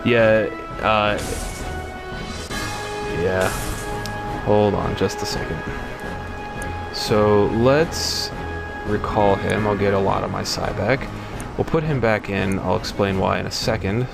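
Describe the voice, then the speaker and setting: Deep-voiced man rambling through a game. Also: slow, gravelly, pauses often. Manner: relaxed, rambling, many fillers and restarts; reads the game's dialogue aloud in his own voice. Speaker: let's play host. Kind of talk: casual gameplay commentary. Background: game music.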